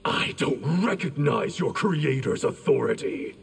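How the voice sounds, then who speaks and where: Very husky, grim warrior. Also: male voice, fairly high pitch, lively intonation, measured pace, big-room sound, exaggerated voice. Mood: defiant. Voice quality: husky, gravelly. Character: grim, proud, defiant male warrior. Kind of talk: fighting game character dialogue.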